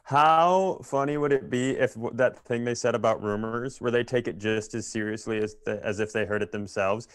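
A man's voice: medium pitch, moderate pace, few pauses, smooth, dry recording. A man with an American accent co-hosting a comedy podcast in casual, wry, joking conversation. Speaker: podcast co-host.

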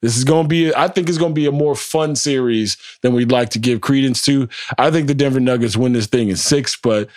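A man hosting a podcast, talking sports in a casual, animated way, swearing freely and often repeating words. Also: mid-range voice, brisk, hardly any pauses, no echo, clean studio sound. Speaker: podcast host.

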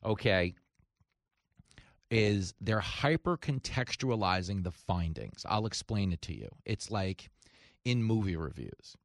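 A man from New York hosting a talk radio show, delivering an animated, mocking, conversational comedic political monologue. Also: on the low side, a little slow, dry recording, clean studio sound.

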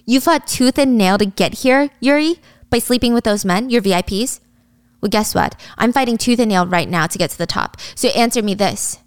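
A woman's voice: a little high, expressive, normal speed, smooth, no echo, natural voice. A woman voicing a defiant young businesswoman in a story, confrontational and cutting. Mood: accusing.

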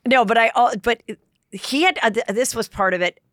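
A middle-aged woman hosting a podcast, chatting casually about celebrity gossip with quick asides and plenty of fillers. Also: fairly high pitch, fairly bright tone, no echo, clean studio sound. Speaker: podcast host.

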